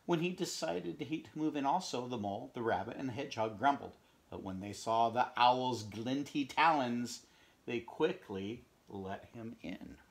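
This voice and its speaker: A middle-aged man reading a picture book aloud at home, expressive, pausing to chat about the pictures and dropping into whispers. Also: medium pitch, vocal fry. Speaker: read-aloud storyteller. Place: home room, indoors.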